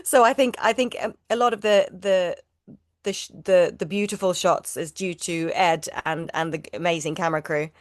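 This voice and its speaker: A woman whose first language is Swedish talks with a somewhat low voice, recorded close and dry with no room sound. She chats loosely, restarting and repeating words as she goes. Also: fairly even intonation, normal speed, no room sound. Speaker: actor guest. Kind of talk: casual interview chat.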